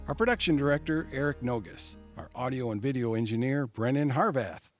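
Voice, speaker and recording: Male host reading credits over music. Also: medium pitch. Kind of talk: scripted credits announcement.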